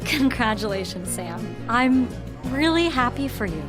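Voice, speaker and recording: High, lively, supportive young woman. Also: measured pace, music in the background. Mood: pleased. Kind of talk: audio drama acting.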